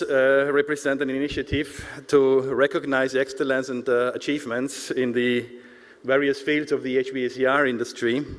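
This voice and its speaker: A man from Austria gives a formal, prepared speech in a medium-pitched voice. His words ring out with long reverb in a large hall, like a director addressing an industry event. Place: large indoor event hall, speaking to an audience.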